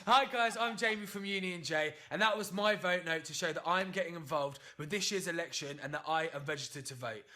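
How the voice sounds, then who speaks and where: A man with a bright, slightly high voice records a short video message for his fans. He speaks in a friendly way, addressing them directly. His tone is clear and forward. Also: brisk, hardly any pauses. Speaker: singer sending a video message.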